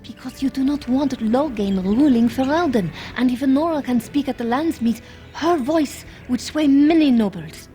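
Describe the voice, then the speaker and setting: A woman's voice: high-pitched, moderate pace, warm tone, close to an everyday voice. An actress playing an earnest, worried young handmaiden to a queen in a fantasy video game, telling things carefully and urgently. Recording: game audio with music underneath.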